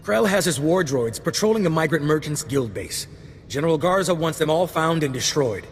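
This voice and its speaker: Earnest army briefing guy for a game, formal, highish voice, echoey hall. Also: lively intonation, moderate pace, slight background noise. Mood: businesslike. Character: dutiful, earnest male military special forces operative. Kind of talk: video game cutscene acting.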